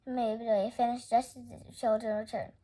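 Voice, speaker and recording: A woman reading a children's storybook aloud as the storytime narrator, in an animated style. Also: a little high, fast talker, low-quality recording. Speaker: storytime reader.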